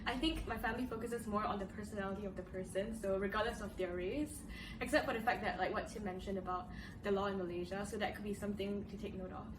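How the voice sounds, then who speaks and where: A woman's voice: medium pitch, fairly even intonation, fast. A young woman hosting a casual panel discussion, putting the questions to the others. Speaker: host. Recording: roomy echo, distant mic, audible room noise.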